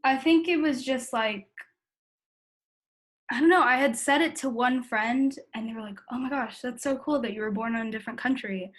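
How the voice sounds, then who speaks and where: A woman's voice: a little high, normal speed. An eighteen-year-old woman with an American accent talking candidly and informally, reflecting on herself with lots of "like". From born in the Philippines, grew up in the United States. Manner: informal youthful talk, heavy use of "like", self-reflective. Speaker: interviewee in a family conversation. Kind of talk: candid casual conversation.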